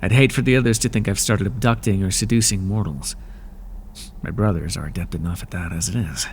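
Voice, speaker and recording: A man voicing a proud, weary male god of the underworld in an ASMR roleplay, with a dry, aloof manner and a quiet curiosity. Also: low-pitched, fairly quick, slight background noise. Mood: curious.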